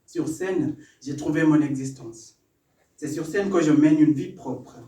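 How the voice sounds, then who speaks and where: A man's voice: a little high, unhurried, dark tone. A young man from Kenya, an English speaker, performing spoken-word poetry at an open mic with a rhythmic, building delivery and self-deprecating asides. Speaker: performance poet. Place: small stage in a cellar venue. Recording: roomy, distant live room sound.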